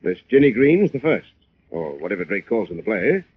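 Husky old-radio police commissioner, probing, lively pitch, tinny recording. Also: male voice, brisk. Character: suspicious male police commissioner, businesslike. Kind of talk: old-time radio drama acting.

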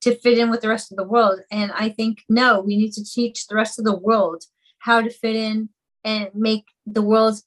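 A woman's voice: fairly high pitch, level tone, normal speed, rough recording. Earnest woman sharing her story. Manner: earnest, heartfelt, talks in long flowing runs. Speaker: podcast guest, mother and singer. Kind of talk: personal interview conversation.

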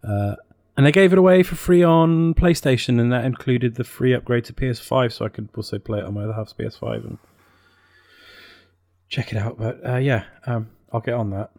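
Guy on a casual podcast, kinda hesitant thinking out loud, really dry sound, normal pitch. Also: fairly quick, no echo. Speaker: podcast co-host. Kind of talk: casual chat about games and films.

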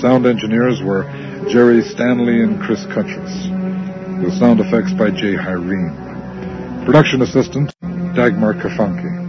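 A man with a low voice hosts a radio drama, reading scripted credits. Loud music plays behind him, and the old broadcast sounds dull. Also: measured pace.

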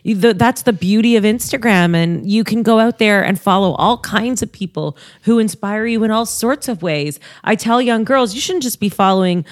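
A woman's voice: mid-range voice, normal speed, few pauses, dry recording, clean studio sound. A young adult woman, a guest in a casual interview, talking in an upbeat, motivational way. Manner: upbeat, motivational, rises when giving advice.

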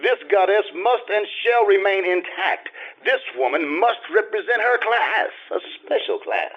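Man acting a high-voiced, swooping, sardonic society gentleman. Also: animated, unhurried. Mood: earnest reproach. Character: sardonic, urbane society ex-husband, teasing and needling. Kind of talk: old-time radio play acting. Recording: slightly dull band-limited stage recording.

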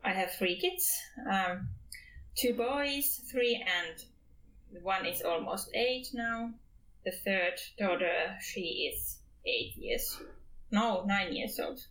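A woman's voice: medium pitch, lively intonation, slow, fairly bright tone, rough recording. A woman from Finland in a casual podcast chat, using simple phrasing and giggling often.

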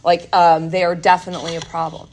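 A woman's voice: fairly low pitch, flat delivery, quick pace, darkish tone, a little hiss or hum, rough recording. A woman speaking candidly and emphatically at a recovery workshop, giving a personal testimony talk to an audience.

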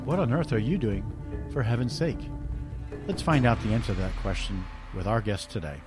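Earnest podcast host, man, low voice, music behind. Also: brisk. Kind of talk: scripted podcast intro, then interview.

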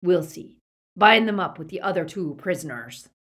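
A woman voicing a gloating, savage male werewolf villain in an audiobook, with a menacing, rasping growl. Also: lowish voice, expressive, moderate pace. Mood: gloating menace. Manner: menacing, snarling.

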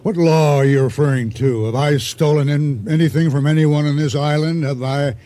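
A man acting a boastful, scheming sea-adventurer and hijacker in a radio drama, with a deliberate, insinuating delivery full of dramatic pauses. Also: fairly high pitch, warm tone, close to an everyday voice. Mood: persuasive, scheming. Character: boastful, scheming male sea-adventurer turned ship hijacker, silkily persuasive.

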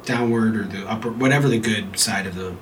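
A man's voice: on the low side, brisk, crowd noise. A man co-hosting a podcast in easygoing casual chat, sounding nasal from a cold. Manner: easygoing, agreeable, animated retelling. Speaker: podcast co-host. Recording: distant mic with noise.

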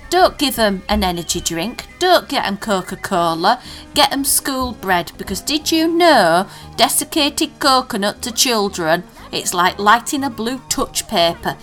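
A woman's voice: mid-range voice, expressive, measured pace, a bit bright, soft background music. A woman co-hosting a casual podcast chat, teasing her co-host and reading out listener messages. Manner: chatty, teasing, reads listener tweets aloud.

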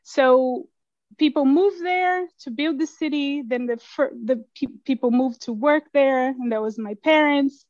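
A woman in middle age talks slowly and reflectively, often circling back over her words with little laughs. Her voice sits a bit high. The recording is dry and somewhat dull, close to the mic. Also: no echo. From Brazil. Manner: thoughtful, many restarts and soft laughs. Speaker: interviewed writer. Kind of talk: casual interview conversation.

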